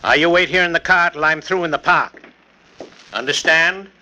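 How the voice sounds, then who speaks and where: An actor playing a brusque, haughty wealthy lawyer in a 1940s radio drama. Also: male voice, on the high side, moderate pace, bright, forceful tone, poor audio. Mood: curt, irritated. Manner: curt, haughty, snapping. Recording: old 1940s radio broadcast.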